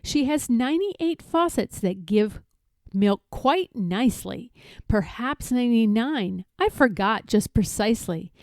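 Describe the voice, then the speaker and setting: A woman's voice: on the high side, animated, a little slow, darkish tone, dry recording. A woman reading a rhyming picture book aloud expressively, drawing out key words for emphasis. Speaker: read-aloud storyteller.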